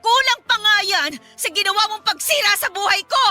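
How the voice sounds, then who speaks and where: Bright, high-voiced desperate young mother. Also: expressive, fairly quick, soft background music, heavily acted. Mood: furious, hurt. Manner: emotional, pleading and accusing. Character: desperate young single mother pleading with and confronting ex-lover. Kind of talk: Tagalog radio drama acting.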